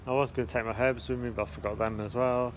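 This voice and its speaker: A man's voice: little pitch movement, fast talker. A man, chatting casually outdoors on a handheld phone. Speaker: holiday vlogger. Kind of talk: casual chat. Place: outdoors under a concrete canopy outside an airport. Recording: noisy outdoor phone recording, traffic rumble.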